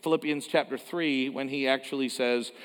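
A pastor from Canada giving a sermon in a conversational way, with joking asides and frequent restarts. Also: male voice, mid-range voice, fairly even intonation, normal speed, fairly bright tone, smooth. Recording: long hall reverb.

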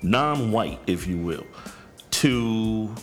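Podcast guest: unhurried deep-voiced man, frank, swears freely. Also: animated, faint music behind. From New York. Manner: direct, blunt, swears freely. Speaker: guest, counselor. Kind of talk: candid podcast conversation.

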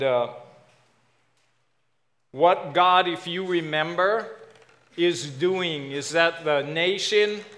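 A man from Hawaii with a Hawaiian accent, filling in as guest preacher and giving a casual, halting sermon. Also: a little high, a bit bright. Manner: casual, self-deprecating, halting with fillers.

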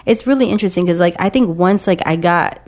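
A woman with a lowish voice talks in a loose, rambling way, full of fillers. She is getting over a cold and sniffles now and then during the relaxed chat. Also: fast. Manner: rambling, many fillers like "like" and "you know". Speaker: podcast co-host. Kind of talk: casual dating-advice chat.

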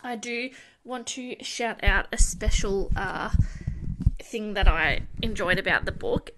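A young woman co-hosting a casual book club podcast, relaxed and chatty, laughing often. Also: mid-range voice, slow, slight background noise, poor audio. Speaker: podcast co-host.

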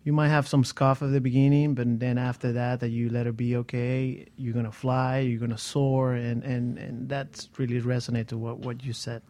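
A man with a mellow, mid-pitched voice joins a motivational talk show discussion. He speaks hesitantly, often restarting his sentences, and his phrasing is a little unusual. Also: flat delivery, normal speed, few pauses.

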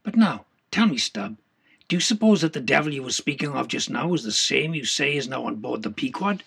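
Man playing a husky, needling whaling mate. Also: mid-range voice, lively intonation, a little fast, a bit bright, exaggerated voice. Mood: sceptical, probing. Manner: blunt, probing, colloquial questions. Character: sceptical, needling male whaling mate quizzing his shipmate.

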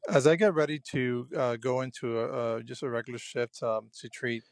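A young man from Cuba, a junior physician, speaking earnestly in a hesitant way, with many pauses and restarts. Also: mid-range voice, fairly even intonation, no echo, studio quality. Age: young adult. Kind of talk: personal radio diary.

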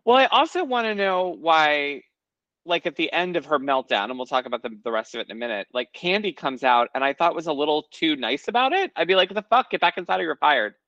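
A man's voice: on the high side, animated, a little fast, pushed and bright, no room sound, dull audio. A man co-hosting a casual recap chat, blunt and swearing freely as he tells stories. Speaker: podcast co-host.

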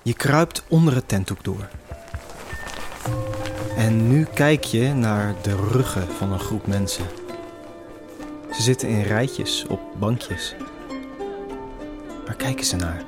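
A man's voice: fairly low pitch, takes it slowly, long pauses. A man narrating an immersive audio drama in the second person, with a suspenseful storytelling manner. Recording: music behind the voice. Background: music.

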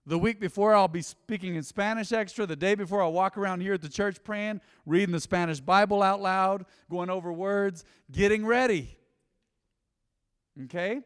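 A man preaching a sermon as pastor, animated and conversational, with frequent asides to the congregation. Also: sits high, normal speed, smooth, long reverb tail. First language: English. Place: church.

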